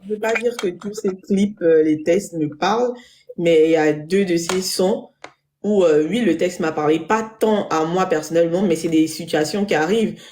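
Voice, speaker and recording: A man speaking as a panel guest, giving his opinion in a casual, measured way. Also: high-pitched, a little mellow.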